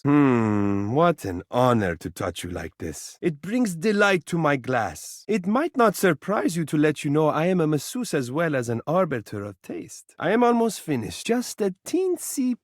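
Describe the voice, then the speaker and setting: A living mirror from a video game, a fussy and doting male lover with a very high opinion of himself. His voice sits slightly high and moves in big, expressive swings. He speaks in flowery, melodramatic phrases, coaxing and pompous, in a dry, close recording. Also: dry recording. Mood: smug, doting. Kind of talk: theatrical character dialogue for a video game.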